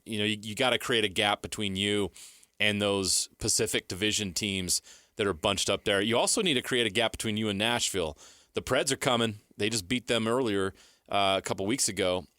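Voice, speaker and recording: Bright, forceful low-voiced male sports co-host. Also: no room sound, studio quality. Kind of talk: sports talk analysis.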